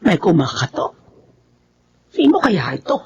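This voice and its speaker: An actor with a Filipino accent playing a fretful, grumbling, easily frightened villager in radio horror. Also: male voice, high-pitched, wildly swooping pitch, a little slow, a little mellow, dull audio, a little hiss or hum, exaggerated voice. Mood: grumbling, irritated.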